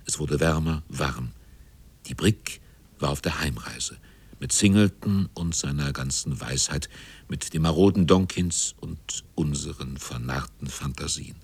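A man narrating a literary radio drama as a measured storyteller. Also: extremely low pitch, creaky, slightly rough, no echo.

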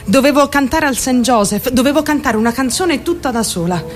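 A woman's voice: high-pitched, animated, fairly quick, soft background music. A woman acting a theatrical radio monologue as a troubled young woman, defensive and candid, rambling and addressing her listeners directly. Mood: confiding, agitated. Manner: confiding, addresses listeners directly with rhetorical questions. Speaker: actor.

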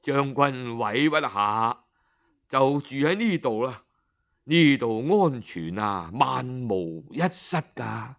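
A man voicing a kindly, courteous old physician living as a hermit, gently reassuring in a Cantonese storytelling style. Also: mid-range voice, expressive, slow pace, vocal fry, lo-fi sound, voice pushed down, full character voice. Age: older.

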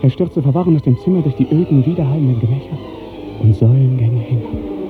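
A man's voice: medium pitch, fast talker. Male radio play narrator, thin vintage sound. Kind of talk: dramatic literary narration, 1950s German radio play. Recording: 1950s radio recording, thin narrow band, music.

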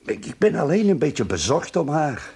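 Willem, husky, hesitant boss. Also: male voice, fairly high pitch, very animated, a little mellow, exaggerated voice. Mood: awkward, placating. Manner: hesitant, halting, tactful. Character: Willem, hesitant, worried male workplace boss. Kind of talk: radio drama acting.

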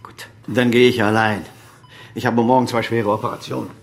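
A man's voice: medium pitch, little pitch movement, moderate pace, noisy background, lightly acted. An actor playing a proud, evasive surgeon and father of a grown son, speaking in an authoritative, articulate way in a German radio crime drama. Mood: cold, dismissive.

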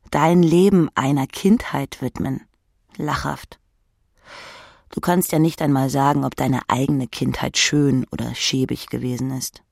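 A woman with a husky, creaky voice narrating a literary audiobook in the second person, with a sardonic, cutting edge. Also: a bass voice, darkish tone, no room sound, clean studio sound. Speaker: audiobook reader.

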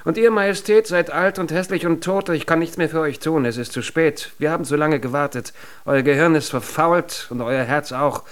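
An actor playing a contemptuous courtier who insults as he flatters, florid and biting, in an absurdist radio drama. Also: fairly high pitch, moderate pace, hardly any pauses, lightly acted. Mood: bitter.

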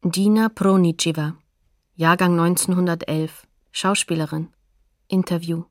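A woman's voice: fairly low pitch, fairly even intonation, a little slow, no echo, clean studio sound. A woman reading terse scripted announcements that introduce witnesses in a documentary.

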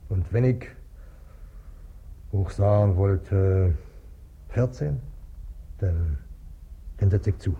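A man's voice: deep voice, husky, heavily acted. An actor playing a wily, grumbling boatman, haggling plaintively in 1950s German radio drama style. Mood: grudging haggling. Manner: plaintive, folksy, drawn-out haggling. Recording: dull, muffled 1950s radio recording.

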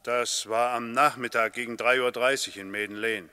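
A man's voice: mid-range voice, steady tone, moderate pace, sharp tone, smooth, no echo, close to an everyday voice. A man acting a dutiful, unshakeable police constable giving evidence in a 1960s German radio drama, clipped, deferential and factual. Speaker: actor in a radio play.

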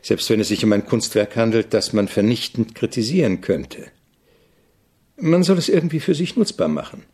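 An actor playing an opinionated, irritable avant-garde composer in a German radio drama, speaking in a didactic, deliberate way with a gravelly, husky voice. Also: male voice, fairly low pitch, expressive, darkish tone. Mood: reflective, didactic.

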